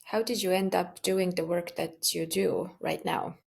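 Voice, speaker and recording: A woman hosts a podcast with a gravelly edge to her voice. She asks her questions in a measured, polite way. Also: fairly low pitch, fairly even intonation. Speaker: podcast host. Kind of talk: interview questions.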